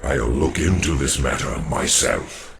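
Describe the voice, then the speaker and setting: A man playing a grave, weary old alien warrior in a video game, with a deep gravelly voice and a solemn, formal manner. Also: a little slow, full character voice. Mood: grave, resolute.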